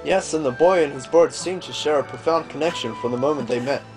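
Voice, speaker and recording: A man voicing a gentle, wistful older father from a fantasy game, telling stories in a fond, reflective way. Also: on the high side, a little fast, lightly acted. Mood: fond, nostalgic. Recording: game music behind.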